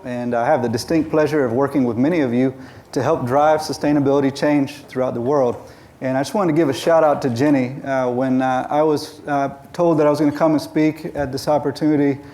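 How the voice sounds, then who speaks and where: A man's voice: mid-range voice, darkish tone. A man on a conference panel introducing himself upbeatly and addressing the audience.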